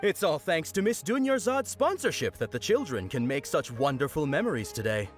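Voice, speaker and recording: An actor voicing a jolly festival knight in a video game, given to theatrical proclamations and friendly patter for children. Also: male voice, on the high side, sing-song, brisk, soft background music.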